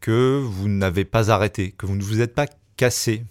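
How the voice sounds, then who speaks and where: A man hosting a radio interview, his voice pitched somewhat low. He puts measured, probing questions to his guest. The recording is dry and close. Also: a little mellow, dry recording. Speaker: radio host.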